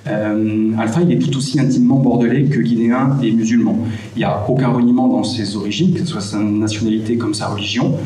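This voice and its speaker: A man giving a prepared conference talk with personal anecdotes, in a measured, hesitant way with frequent pauses. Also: on the low side, steady tone, dark tone. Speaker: conference speaker from a volunteer hosting association. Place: echoing room at a public conference. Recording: roomy, distant mic, faint background noise.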